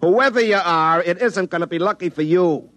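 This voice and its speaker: A man's voice: high voice, moderate pace, fairly bright tone, dry recording. An actor playing a folksy young American telephone linesman and tinkerer in classic radio drama, stammering and correcting himself as he rambles. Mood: indignant. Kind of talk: classic radio drama acting and first-person narration.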